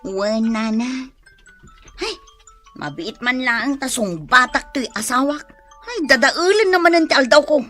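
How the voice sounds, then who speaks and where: A woman's voice: high-pitched, expressive, unhurried, pushed and bright, faint music behind, full character voice. A woman acting a hot-tempered, money-minded wife in a radio drama, with a Filipino accent. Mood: exasperated, shrill.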